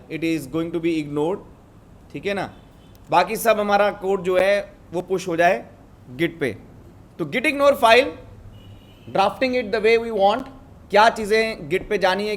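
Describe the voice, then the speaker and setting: A man's voice: high voice, takes it slowly. A man with an Indian accent teaching a step-by-step coding lesson, switching between two languages and often checking that learners follow. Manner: switches between Hindi and English; instructive, often checks understanding with tag questions. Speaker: programming instructor.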